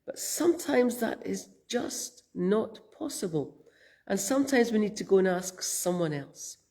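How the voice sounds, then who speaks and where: A Scottish woman minister giving a gentle, thoughtful spoken reflection, her voice croaky from a cold. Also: medium pitch, animated, a little slow. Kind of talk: reflective sermon.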